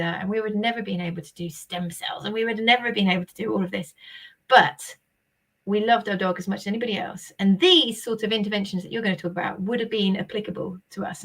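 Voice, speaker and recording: A woman's voice: medium pitch, a bit bright. A woman hosting a live-streamed interview, talking in an enthusiastic, bubbly and emphatic way. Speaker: host.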